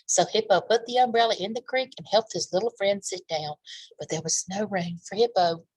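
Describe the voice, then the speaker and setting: A woman's voice: mid-range voice, a little fast, darkish tone, husky, no echo, poor audio. A woman reading aloud to young children as a storytime host, with chatty asides and questions for her listeners.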